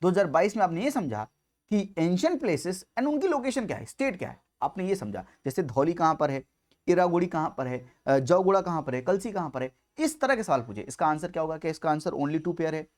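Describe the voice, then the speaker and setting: A male coaching teacher delivers an explanatory lecture with very lively, swinging intonation. He stresses key phrases, repeats them for emphasis and keeps throwing rhetorical questions at his students. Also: a little high, a little fast.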